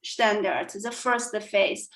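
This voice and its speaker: A woman's voice: medium pitch, slow pace, fairly bright tone, echoey room. A young woman from China with a Chinese accent, speaking English as a learner in a podcast interview, hesitant with many fillers and frequent giggles. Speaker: guest.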